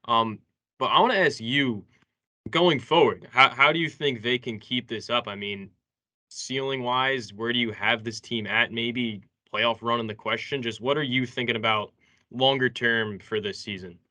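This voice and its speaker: A man with a bright, crisp-edged voice at an average pitch hosts a casual sports interview. He works toward each question haltingly, doubling back and repeating phrases. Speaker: sports podcast host.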